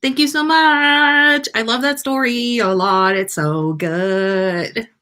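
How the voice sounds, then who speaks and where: A woman hosting and reading stories aloud live, with an enthusiastic, animated storytelling manner. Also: fairly high pitch, slow. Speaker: podcast host and story reader.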